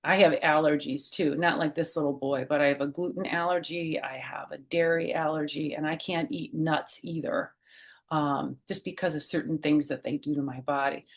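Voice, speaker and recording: A middle-aged woman with a slightly low voice hosts a children's storytime. She reads in a gentle, teacherly way and slips easily into conversational asides, putting questions to her young listeners. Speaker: storyteller reading a children's book, former teacher. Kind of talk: read-aloud storytime with personal asides.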